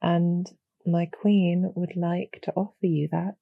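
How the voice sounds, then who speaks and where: A woman playing a kind, calm demon general in a roleplay audio drama, speaking in a measured, formal and gently persuasive way. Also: lowish voice, vocal fry, dry recording, phone-quality sound, full character voice. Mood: sympathetic, persuasive.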